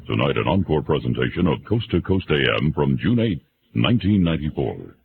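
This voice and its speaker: Very deep gravelly radio announcer man. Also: big pitch swings, brisk. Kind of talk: scripted radio promo announcement. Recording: hissy, narrow-band radio broadcast.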